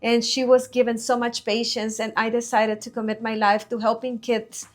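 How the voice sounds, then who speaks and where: A woman in her forties from Mexico, a business owner answering interview questions in an earnest, measured way with frequent fillers, recorded in a studio. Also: fairly high pitch, little pitch movement.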